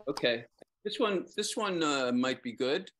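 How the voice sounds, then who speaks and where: A man's voice: on the high side, lively intonation, measured pace, a bit bright, a little hiss or hum. A middle-aged man, a poet, hesitantly introducing and reading his own verse on a video call. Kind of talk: casual remarks and measured poem reading. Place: lamplit room at home, on a video call. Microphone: computer mic on a video call.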